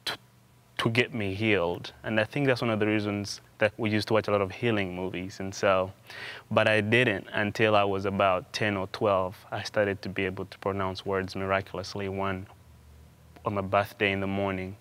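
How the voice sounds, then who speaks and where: A young man from Uganda answers interview questions in a fairly low, smooth, resonant voice. He talks haltingly, often restarting and repeating words as he goes. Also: flat delivery, fairly bright tone. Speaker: interview guest, theology student. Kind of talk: conversational interview.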